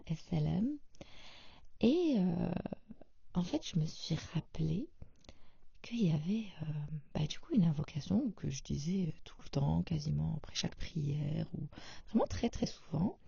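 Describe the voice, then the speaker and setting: Woman podcaster, low gravelly voice, half whispering, lots of euhs, dull lo-fi dry sound. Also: no room sound. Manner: soft, half-whispered and hesitant, with many fillers. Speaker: podcast host. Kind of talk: intimate personal monologue.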